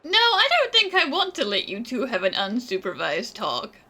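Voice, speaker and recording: A woman's voice: on the high side, big pitch swings, normal speed, sharp tone. A woman giving casual gaming commentary in a sarcastic, teasing, slangy way.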